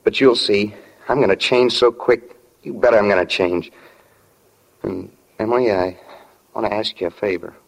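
An actor playing an earnest, bashful small-town teenage boy in love, halting and sincere, in a 1940s radio drama. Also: on the low side, fairly even intonation, vocal fry, rough recording. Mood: eager, bashful.

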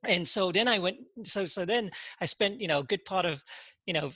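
A man, a podcast guest telling his story in casual interview talk, halting and full of "you know" and repeated words. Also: sits high, pushed and bright, no room sound. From England.

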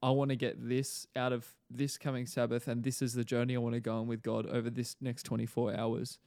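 Mild Australian-accented man in his own casual voice, mid-pitched and even. He talks like a reflective pastor on a podcast, earnest and unhurried, often pausing with fillers. The recording is close and dry. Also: no echo. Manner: thoughtful and earnest, with many fillers and restarts.

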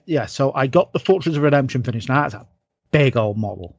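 Husky man chatting casually about hobbies. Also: mid-range voice, animated, no echo, highs cut off. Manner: halting and rambling, repeats phrases. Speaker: podcast co-host. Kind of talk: casual hobby chat.

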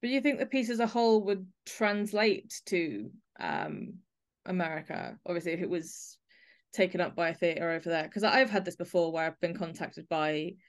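A woman's voice: lowish voice, expressive, normal speed. A woman with a British accent hosting a podcast in a casual, chatty interview style. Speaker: podcast host.

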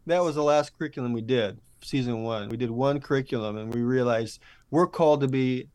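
A man chatting casually as an interview guest, telling stories in an animated, easygoing conversational way. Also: mid-range voice, fairly quick, clear, resonant tone, dry recording. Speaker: audio drama producer and podcast guest.

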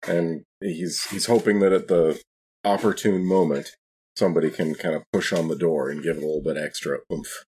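A man's voice: deep voice, steady tone, a little fast, darkish tone, slight background noise. A man chatting casually as a player in a tabletop role-playing game, talking through his moves.

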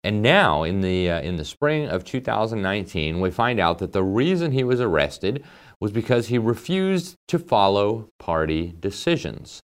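A man's voice: on the low side, very animated, normal speed, clean tone. A man hosting a political commentary show, talking emphatically and conversationally, with fillers and asides. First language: English. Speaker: host.

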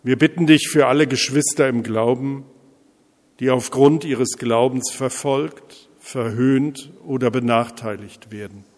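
Man, pastor, solemn liturgical prayer, mid-pitched, deliberate pauses, long church reverb. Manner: measured, deliberate phrasing with pauses. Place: large reverberant church interior.

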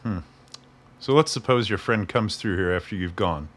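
An actor playing a calm safehouse keeper who questions a visitor carefully. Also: male voice, lowish voice, normal speed, close to an everyday voice. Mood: probing. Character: calm, probing man running a safehouse. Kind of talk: radio play acting.

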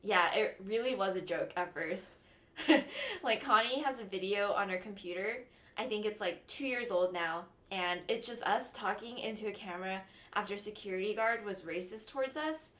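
A woman's voice: medium pitch, normal speed, a bit bright. A young woman from Southern California co-hosting a casual college radio podcast, chatty and self-mocking, with giggly asides. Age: young adult. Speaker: podcast co-host.

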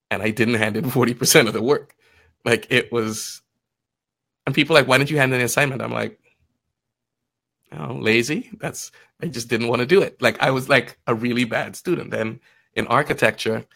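A man telling stories casually in conversation, acting out bits of quoted dialogue in an animated manner. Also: fairly low pitch, gravelly. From Guyana and Trinidad. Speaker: podcast guest, financial advisor.

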